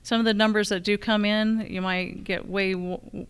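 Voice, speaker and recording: A woman answering as a candidate at a public forum, in halting, loosely structured sentences. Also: mid-range voice, flat delivery, smooth. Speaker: challenger candidate for county assessor. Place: live public forum on a college campus.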